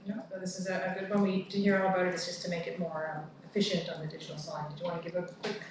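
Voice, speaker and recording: A woman with a Canadian accent chairing a town council meeting, reading motions and calling votes in a brisk, procedural way. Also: fairly low pitch, little pitch movement. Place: large council chamber. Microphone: far room mic. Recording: echoey room from far off, background noise.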